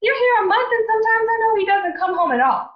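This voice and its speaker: Radio play mother, woman performer: high-pitched, fretful, indignant nag firing scolding questions, in a roomy space. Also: animated, fast. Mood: indignant, nagging. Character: nagging, indignant middle-aged mother of a grown daughter. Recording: roomy, dull home recording.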